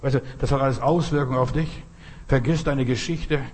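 A man's voice: medium pitch, fairly even intonation, brisk, a little mellow. A man giving a charismatic sermon, stressing and repeating short phrases. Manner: emphatic, repeats phrases, cries hallelujah. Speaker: preacher.

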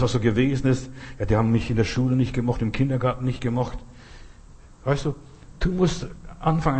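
A man's voice: mid-range voice, a little hiss or hum. A man giving a charismatic sermon, stressing and repeating short phrases. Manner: emphatic, repeats phrases, cries hallelujah. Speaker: preacher.

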